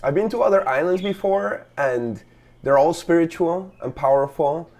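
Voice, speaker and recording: A man's voice: fairly high pitch, moderate pace, darkish tone. Easygoing man hosting a casual chat. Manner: easygoing, frequent "mm-hmm" and "beautiful". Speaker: podcast host.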